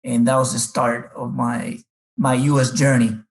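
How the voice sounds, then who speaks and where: A man's voice: medium pitch, little pitch movement, a little slow. A middle-aged man from the Republic, a baseball coach telling his life story unhurriedly in an interview, with many fillers. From Dominican Republic.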